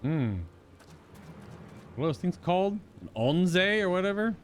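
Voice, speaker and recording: A man's voice: high-pitched, very animated, measured pace, slight background noise. A man giving casual live commentary on a game he is playing, with muttered asides. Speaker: streamer playing and reading a game aloud.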